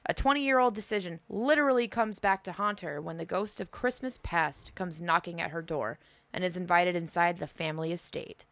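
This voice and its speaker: A woman's voice: fairly low pitch, normal speed, fairly bright tone, no room sound. A woman co-hosting a casual movie podcast, laughing often and swearing freely. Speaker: podcast co-host. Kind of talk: casual chat and movie review.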